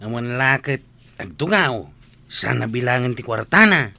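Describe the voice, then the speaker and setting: A man's voice: mid-range voice, animated, normal speed, vocal fry, sharp tone, heavily acted. A man playing a nosy village bystander gossiping about a neighbour in an Ilocano comedy radio drama. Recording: faint background noise.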